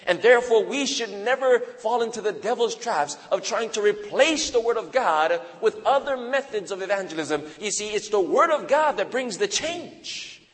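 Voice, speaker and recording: A man in his thirties from Hawaii preaches a sermon woven with personal testimony. His voice sits high for a man, yet he lets it sink low and quiet on key phrases, pausing for weight. A long reverb trails every word in the church hall. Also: expressive. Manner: slow, dramatic pauses with deep drops for emphasis.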